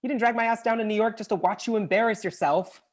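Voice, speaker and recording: A man's voice: sits high, quick pace, close to an everyday voice. A man playing a sassy, blunt, teasing best friend in a screenplay table read. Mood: sassy, dry.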